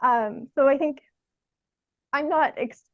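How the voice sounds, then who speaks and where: High-voiced young woman, thinking aloud. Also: slow, no echo, lo-fi sound. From Canada. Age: young adult. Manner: hesitant, thoughtful, frequent "like" as filler. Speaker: podcast guest, theatre artist. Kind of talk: conversational interview answers.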